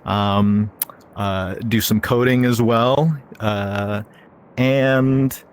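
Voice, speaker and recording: A man's voice: lowish voice, steady tone, extremely slow, clean tone. A male voice actor introducing himself on a panel, easygoing and a little hesitant. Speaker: voice director, voice actor. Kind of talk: convention panel talk.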